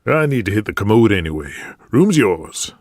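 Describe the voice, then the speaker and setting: A man's voice: fairly low pitch, sing-song, normal speed, a little mellow, no echo, studio quality. A man voicing a genial, swaggering cowboy wrestler with folksy talk in an audio drama. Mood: teasing.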